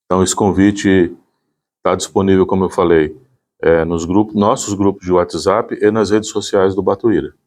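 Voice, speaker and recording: A man's voice: sits low, fairly even intonation, normal speed, darkish tone. A man gives an informal explanation. He speaks as a volunteer presenting community work.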